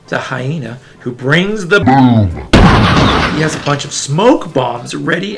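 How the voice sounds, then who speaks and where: Animated, gravelly-edged man reading for kids. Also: a little high. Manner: playful, enthusiastic, talking to young listeners. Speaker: children's book reader. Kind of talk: animated read-aloud storytelling with casual asides.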